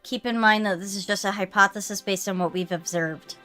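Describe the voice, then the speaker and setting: A woman voicing a serious, critical young female scientist from a visual novel, in a measured, formal manner. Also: medium pitch, steady tone, a bit bright, faint music behind, natural voice. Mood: grave, critical.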